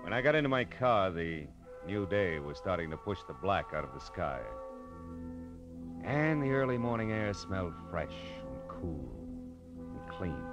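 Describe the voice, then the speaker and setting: An actor playing a shrewd, insistent American private detective in a 1950s radio drama, with punchy, clipped delivery. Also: male voice, low-pitched, very animated, measured pace, music in the background. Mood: reflective narration.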